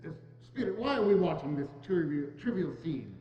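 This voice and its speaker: A man's voice: fairly high pitch, sing-song, normal speed, soft background music. A man playing a frightened, pleading old miser in a stage reading, with hesitant, stammering delivery. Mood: anxious, puzzled. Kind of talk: amateur dramatic reading on stage. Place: large church hall, indoors. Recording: muffled echoey church hall, humming noise.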